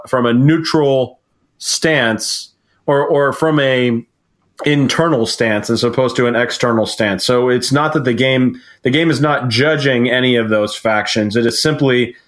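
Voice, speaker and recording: A man from Connecticut, a game designer guest on a podcast, explaining earnestly with fillers and restarts. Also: mid-range voice. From Connecticut, USA. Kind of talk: casual podcast conversation.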